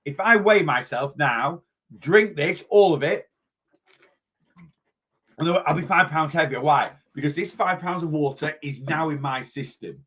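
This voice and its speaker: A man, a weight loss coach chatting casually in a vlog, a little hoarse from losing his voice and rambling as he goes. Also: a little high, a little slow, a bit bright. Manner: chatty, rambling, self-interrupting.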